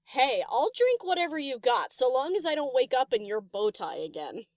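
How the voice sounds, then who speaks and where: A woman's voice: high voice, sing-song, normal speed, a little mellow, no room sound, studio quality. A woman playing a casual, teasing young female DJ pony in an audio story, with playful, slangy delivery. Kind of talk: acted audio story dialogue.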